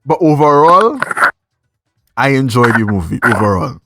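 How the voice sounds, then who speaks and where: A man's voice: medium pitch, big pitch swings, normal speed, loud music behind the voice, rough recording. A man with a Trinidadian accent hosting a casual movie review chat, speaking in slangy, repetitive phrases. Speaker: podcast host.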